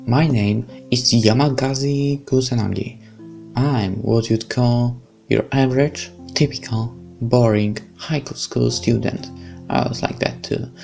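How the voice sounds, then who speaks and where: A man's voice: medium pitch, steady tone, slow, gravelly, darkish tone, faint music behind. A young man with a Polish accent, reading a visual novel aloud and chatting, stumbling over words. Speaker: let's play host.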